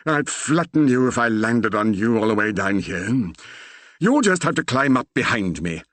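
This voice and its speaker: A man's voice: medium pitch, sing-song, moderate pace, a bit bright, no echo, pitched above the performer's own voice. A man voicing a blustering, panicky older country vicar who exclaims in old-fashioned oaths. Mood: resigned. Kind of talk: audiobook character voice.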